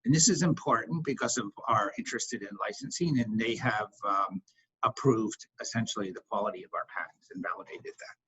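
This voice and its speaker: A husky man speaking as a company executive, answering questions on an investor call in a halting way, repeating words for emphasis. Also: lowish voice, fairly bright tone. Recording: dull, lo-fi conference call audio.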